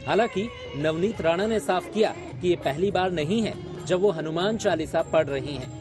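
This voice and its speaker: A man's voice: on the high side, normal speed. A man reading a scripted television news report as its narrator. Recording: music under the voice.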